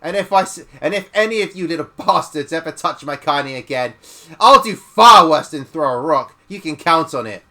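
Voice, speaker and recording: A fierce, foul-mouthed old grandmother, as a male reader puts her on, gruff and thick with rage. Her voice comes out loud, sharp and forceful. She is stern and quick to violence. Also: fairly high pitch, sing-song. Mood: threatening. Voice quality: gruff, vulgar, raspy with rage.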